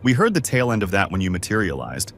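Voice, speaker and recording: Courteous, lively-toned crewman played by a man. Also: lowish voice, fast talker, full character voice. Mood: explanatory. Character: courteous, methodical male crew brother reporting findings. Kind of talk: fan audio drama acting. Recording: faint background noise.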